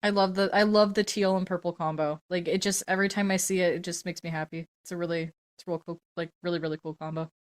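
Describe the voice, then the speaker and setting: A woman's voice: on the low side, fairly even intonation, quick pace, hardly any pauses. Casual woman hosting, informal and sweary. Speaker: podcast host. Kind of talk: casual chat, presenting award categories.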